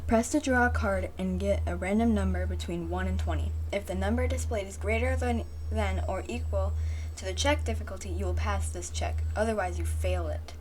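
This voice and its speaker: A woman's voice: medium pitch, moderate pace, darkish tone, a little hiss or hum. A woman streamer casually reacting to a game and reading aloud in a chatty, informal way. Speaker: gaming streamer.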